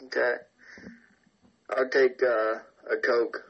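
A man voicing an obliging, slow-witted hillbilly man who hesitates and stumbles over words. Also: mid-range voice, level tone, lo-fi sound.

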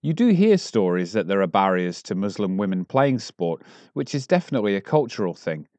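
A man reading newspaper articles aloud as a volunteer in a measured way, recorded at home. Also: lowish voice, big pitch swings, darkish tone, no echo. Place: at home, close and dry.

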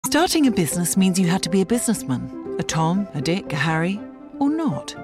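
A woman's voice: on the low side, sing-song, fairly quick. A woman reading a polished, persuasive scripted commercial. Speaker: ad voice-over. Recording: studio voice-over over loud music.

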